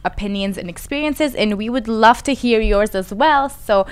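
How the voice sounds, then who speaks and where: A woman's voice: a little high, normal speed, clear, resonant tone. A woman in her twenties from Iran, a native Persian speaker, chatting casually as a podcast co-host. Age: 20s. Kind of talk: casual panel discussion.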